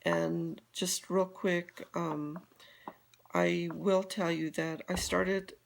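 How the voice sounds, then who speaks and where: A woman's voice: on the low side, level tone, extremely slow, gravelly, a little hiss or hum, rough recording. An older woman from Colorado chatting casually on a live video, rambling with many fillers and restarts. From Colorado, USA. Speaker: beauty guide vlogger.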